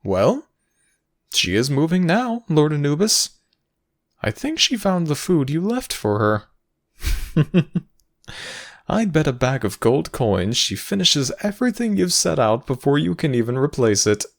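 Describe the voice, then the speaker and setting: A man playing a playful, teasing god of the afterlife in a fantasy audio roleplay, speaking in a sly, measured, theatrical way. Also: fairly high pitch, very animated, gravelly, heavily acted. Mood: teasing, amused. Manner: theatrical, sly, measured storytelling with dramatic pauses.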